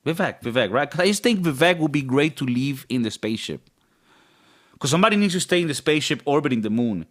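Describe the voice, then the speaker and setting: Raspy-voiced man chatting casually. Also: fairly high pitch, animated, fast talker. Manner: rambling, joking, profane. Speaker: podcast co-host. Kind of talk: casual podcast chat.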